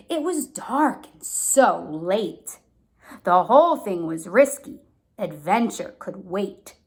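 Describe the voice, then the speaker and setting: Female read-aloud teacher, high-ish lively voice, expressive storytelling with simple asides for young children. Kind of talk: storybook reading with explanations and questions.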